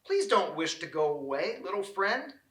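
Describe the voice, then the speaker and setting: A man's voice: high-pitched, sing-song, normal speed, sharp tone, roomy sound, recorded from a distance, exaggerated voice. A man voicing small friendly birds and squirrels in a storybook, chirpy and chattering.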